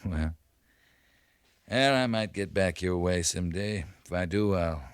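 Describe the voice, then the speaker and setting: An actor playing a steady, laconic American cavalry captain with a measured, understated manner in a 1950s radio western. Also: male voice, sits low, clean tone, no echo. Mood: calm, negotiating. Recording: vintage 1950s radio recording.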